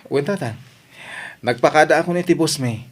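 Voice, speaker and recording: A fairly high male voice as a dutiful young working son played by a man, eager to help his parents. It is family audio drama with an Ilocano accent. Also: normal speed, lightly acted. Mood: respectful.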